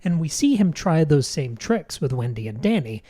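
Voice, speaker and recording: Woman, podcast co-host, mellow soft-edged tone, articulate, emphatic, literary wording, conversational film analysis. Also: deep voice, big pitch swings, normal speed.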